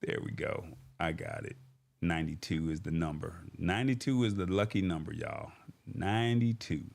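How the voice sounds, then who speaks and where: A man with a fairly low voice hosts a tech livestream. He speaks informally and in a folksy way, addressing his viewers directly as he chats and reads things out. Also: normal speed, vocal fry, darkish tone. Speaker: host of a tech livestream show. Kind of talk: casual livestream chat, reading comments and news.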